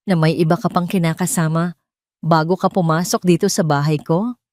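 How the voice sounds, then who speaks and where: A woman's voice: lowish voice, steady tone, normal speed, darkish tone, no echo, close to an everyday voice. Woman as domineering rich husband, jealous, barking orders, audio drama, close dry sound. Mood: angry, accusing. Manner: barking, commanding.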